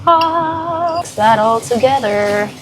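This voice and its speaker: Aussie girl with a blocked-up sick voice, a bit high, chatty and upbeat cooking in her kitchen. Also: lively intonation, slow, faint music behind, poor audio. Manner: chatty, upbeat, frank, swears casually. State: congested, sick. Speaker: fitness vlogger. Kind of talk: casual cooking tutorial. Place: home kitchen, indoors.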